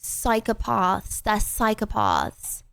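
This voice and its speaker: A young British woman streaming a game, chatting casually with her chat and breaking into playful, excited exclamations. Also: mid-range voice, slow, gravelly, clear, resonant tone, no echo. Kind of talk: casual gameplay commentary to chat.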